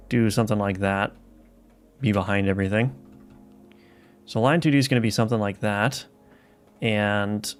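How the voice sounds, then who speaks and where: A man streaming live as a game developer, talking casually and thinking aloud with many fillers and restarts while he codes. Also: lowish voice. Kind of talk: live coding stream, reading chat. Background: soft lofi music.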